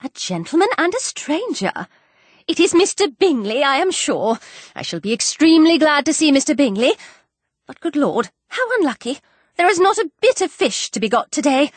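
A woman voicing an excitable, fussy middle-aged mother in an audiobook, exclaiming and complaining. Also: a little high, brisk, dry recording. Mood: excited, flustered.

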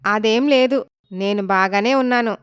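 Polite young wife, voiced by a woman, clear and high. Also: measured pace, no room sound, clean studio sound, close to an everyday voice. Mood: curious, eager. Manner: polite, pleading. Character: wronged young village wife, polite, quietly determined. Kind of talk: dramatised story acting.

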